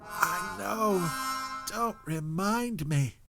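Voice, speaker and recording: A man voicing a greedy, excitable villain boss with theatrical, blustering delivery in an audio story. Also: high-pitched, very slow, vocal fry, music in the background, pitched above the performer's own voice, exaggerated voice. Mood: bitter disbelief.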